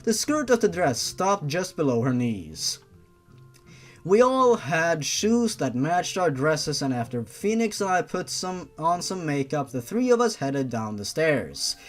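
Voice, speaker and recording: Narrator for amateur story reading: animated, theatrical, a touch irreverent, medium pitch, music underneath. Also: measured pace. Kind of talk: dramatic fan fiction reading with mocking asides.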